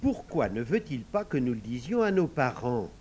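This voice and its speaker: A man's voice: medium pitch, sing-song, normal speed, background noise, lightly acted. A man voicing a sensible young girl who speaks thoughtfully and suspiciously.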